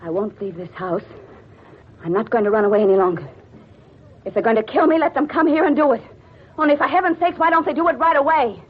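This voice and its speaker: A woman's voice: sits high, lively intonation, fast, breathy edge. An actress playing a frightened, distrustful and defiant woman in a melodramatic old-time radio thriller. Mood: desperate, defiant. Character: frightened, distrustful woman hunted by unseen killers. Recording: muffled vintage radio drama recording with music.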